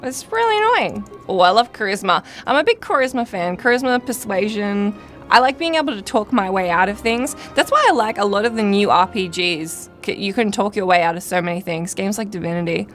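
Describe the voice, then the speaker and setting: A woman's voice: medium pitch, lively intonation, fairly quick, soft background music. A woman hosting a game livestream in casual chat, with a wry, teasing way of talking. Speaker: livestream host and game player. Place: live stream gaming setup.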